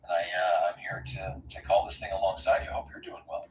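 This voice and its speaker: A man with a slightly raspy voice giving relaxed hockey color commentary from his couch, hesitating often. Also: low voice, fairly quick, a crowd in the background. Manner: hesitant, many uh fillers, laid-back. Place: home, on a couch. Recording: distant lo-fi roomy mic with faint hiss.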